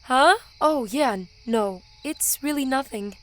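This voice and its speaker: A woman's voice: high voice, animated, a little slow, fairly bright tone, a little hiss or hum. A woman playing a withdrawn, weary teenage girl in an audio drama, hesitant and apologetic. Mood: reluctant, withdrawn. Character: weary, withdrawn, depressed teenage girl, a high school student.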